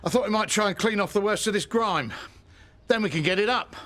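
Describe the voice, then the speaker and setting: A man's voice: sits high, a little fast, a bit bright. An actor playing a long-suffering, affectionate middle-aged publican and father in a naturalistic radio soap. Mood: straining, brisk. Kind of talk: naturalistic radio drama acting.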